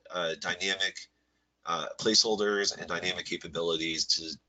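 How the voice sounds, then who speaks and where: A man with a head cold and a slightly nasal voice, presenting a live software webinar in a relaxed, rambling way full of fillers. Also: low voice, low-quality recording. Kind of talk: live webinar walkthrough with screen share.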